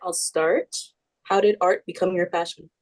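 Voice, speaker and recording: A woman's voice: on the low side, fairly even intonation, normal speed, darkish tone, dry recording. A young woman in a summer youth program, asking interview questions in a friendly, informal way. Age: young adult.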